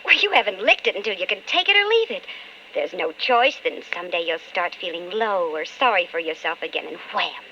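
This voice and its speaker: An actress playing an anguished, calculating wife of an alcoholic in an old-time radio drama, with intense, melodramatic delivery. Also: female voice, on the low side, animated, brisk, pushed and bright, highs cut off, slight background noise. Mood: coaxing, insistent.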